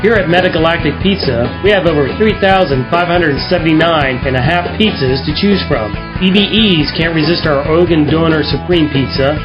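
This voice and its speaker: A man's voice: a little fast, few pauses, natural voice. A man playing an upbeat pizza commercial announcer in a parody radio ad. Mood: salesy. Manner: salesman-like, upbeat pitch. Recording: dull lo-fi audio over music.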